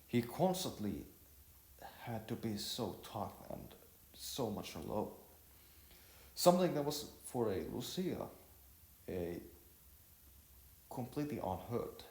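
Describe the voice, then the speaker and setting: Deep, slow male storyteller with halting delivery. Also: big pitch swings, darkish tone. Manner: halting, stumbling reading. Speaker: narrator. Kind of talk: scripted story narration. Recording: roomy echo, music behind.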